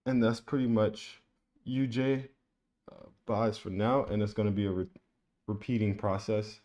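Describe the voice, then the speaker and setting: A man's voice: fairly low pitch, fairly even intonation, a little slow, dark tone. A man giving a casual trading lesson, talking through a chart on screen in a loose, repetitive way. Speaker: trading educator. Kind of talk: screen-share trading tutorial.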